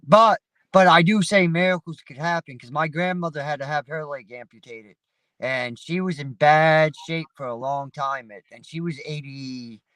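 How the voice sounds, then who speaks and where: A man's voice: fairly high pitch, normal speed, a bit bright, clean tone, no room sound, rough recording. A man hosting a livestream panel, chatting casually in a rambling, profane, laid-back way. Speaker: livestream host. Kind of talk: casual chat. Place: sitting in his parked vehicle. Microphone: phone.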